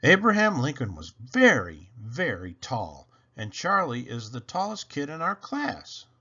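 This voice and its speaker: A man reads a picture book to children with a swooping, animated delivery. He takes his time over each phrase, and his voice is bright, with slightly dull audio. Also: medium pitch, a little slow, slightly rough. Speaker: picture book read-aloud reader. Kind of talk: animated scripted storybook narration for children.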